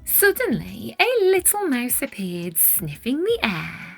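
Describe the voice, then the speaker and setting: A woman reading a children's picture book aloud in an expressive, deliberate storytelling style with dramatic stress on key words. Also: on the high side, creaky, husky, soft background music.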